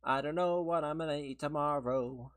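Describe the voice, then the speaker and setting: A man's voice: fairly high pitch, moderate pace. A man who is a little sick and sniffly, chatting casually on a game livestream, rambling and laughing as he answers viewers. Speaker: streamer. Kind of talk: casual livestream chat while gaming, replying to viewers.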